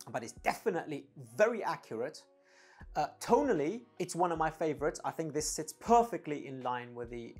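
A man in his thirties giving a product review to camera, with emphatic, deliberate phrasing and pauses. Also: on the high side. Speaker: audio gear reviewer. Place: dim indoor home studio room. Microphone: lapel clip-on mic.

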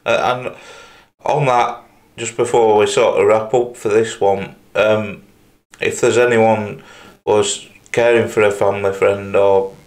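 A young man hosting an interview on a video call, asking measured, supportive questions. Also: lowish voice, fairly even intonation. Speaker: host.

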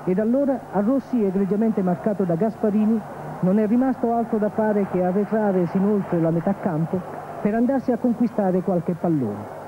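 Male sports reporter, high voice, scripted match recap, narrow phone-like archive TV sound. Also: normal speed. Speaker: football reporter. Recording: muffled, noisy archive TV broadcast.

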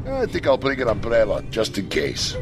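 A man's voice: on the low side, big pitch swings, moderate pace, over music. Wary, wisecracking male crew member. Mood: wry. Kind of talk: audio drama acting.

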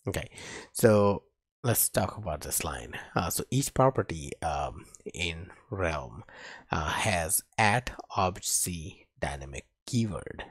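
A man narrating a coding tutorial as an instructor, speaking haltingly as he types along, with a husky voice. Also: low voice, expressive, no echo, studio quality. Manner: halting, talks while typing. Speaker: programming instructor.

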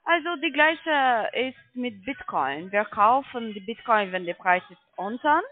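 Female phone sales agent, non-native speaker, higher voice, persuasive but halting, tinny telephone sound. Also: lively intonation. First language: not German. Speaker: call centre sales agent. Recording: tinny narrow phone line.

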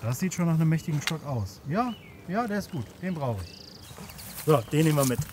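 A man's voice: a little high, expressive, fairly quick. A man talking casually and jokingly in colloquial language as he records a camping vlog outdoors on his phone. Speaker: camping vlogger. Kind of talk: casual outdoor vlog talk to camera and companion. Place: outdoors at a riverside campsite. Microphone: handheld smartphone. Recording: faint outdoor noise on phone audio.

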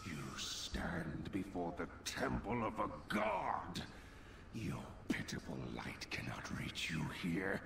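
An actor playing a sinister, gloating dark sorcerer in a game, with a menacing, drawn-out, breathy growl. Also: male voice, sits low, wildly swooping pitch, recorded from a distance, exaggerated voice. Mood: gloating menace. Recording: echoey game audio under battle noise.

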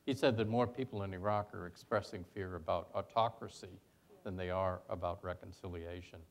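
An older man speaking in a measured, reflective way as a panelist. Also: deep voice, steady tone. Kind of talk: panel discussion.

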